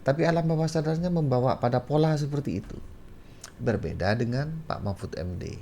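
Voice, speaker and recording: A man from Madura, speaking as a talk show guest and pausing to search for words. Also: mid-range voice, warm tone. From Madura, Indonesia. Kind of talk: conversational personal anecdote.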